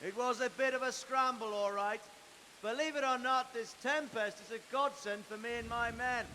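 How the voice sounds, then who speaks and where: A man playing a gruff, sardonic middle-aged knight lord in a medieval video game, calling out across a distance. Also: very high voice, steady tone, moderate pace, pushed and bright. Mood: grave, resolute. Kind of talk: dramatic video game acting. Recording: hissy, stormy game audio, reverberant.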